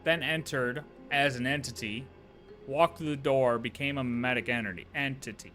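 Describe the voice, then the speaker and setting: A man livestreaming a game, talking casually and thinking out loud in slangy, sometimes profane speech. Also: mid-range voice, steady tone, normal speed, soft background music. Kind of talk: casual game playthrough commentary.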